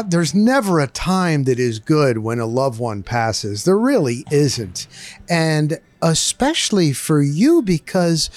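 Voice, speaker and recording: High-voiced middle-aged man interviewing, dry sound. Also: darkish tone, no room sound. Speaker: interviewer, sports broadcaster. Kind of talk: conversational interviewing.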